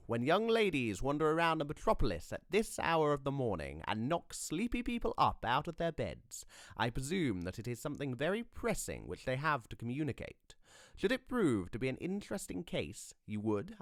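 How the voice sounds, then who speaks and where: A man voicing a brisk, eager male detective who speaks crisply and urgently, in a storybook reading. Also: medium pitch, wildly swooping pitch, a bit bright, no echo, studio quality. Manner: crisp, urgent, precise speech.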